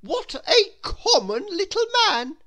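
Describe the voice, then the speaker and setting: Vain storybook lady, snobbish, high-pitched, wildly swooping, pompous, theatrical disdain. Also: big pitch swings, slow, bright, forceful tone, exaggerated voice. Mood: haughty disdain. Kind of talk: storybook character voice.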